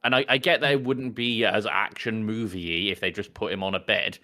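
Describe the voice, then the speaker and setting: A man co-hosting a casual film podcast, explaining his points in a wordy, deliberate way and often correcting himself. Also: fairly low pitch, bright, forceful tone. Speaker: podcast co-host. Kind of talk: casual film review chat.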